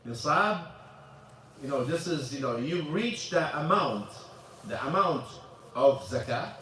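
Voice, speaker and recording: A man's voice: a little high, fairly bright tone, a little hiss or hum. A man whose first language is Arabic, teaching a mosque class in English with a loose, repetitive manner and questions to the audience. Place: mosque hall. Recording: echoey hall, voice from far off.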